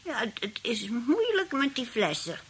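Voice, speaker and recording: An actress playing a sly, genteel old aunt who rambles politely and feigns helplessness in a radio play. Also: female voice, fairly high pitch, big pitch swings, measured pace, slightly rough, heavily acted. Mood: genteel, chatty.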